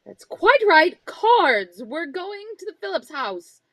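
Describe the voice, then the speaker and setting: A woman's voice: extremely high pitch, very animated, takes it slowly, sharp tone, dry recording, heavily acted. A woman playing a cold, cutting, condescending middle-aged wife in a live radio drama reading. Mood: bossy. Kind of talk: live reading of an old-time radio script.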